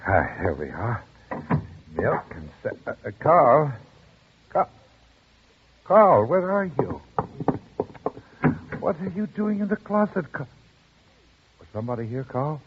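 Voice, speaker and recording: A man's voice: mid-range voice, very animated, slow pace, pauses often. An actor playing an anxious man in his sixties in a radio drama, urgent and coaxing, repeating himself. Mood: alarmed. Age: 60s. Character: anxious older man secretly hiding someone in his hotel room. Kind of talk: old-time radio drama acting. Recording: dull, noisy old radio recording.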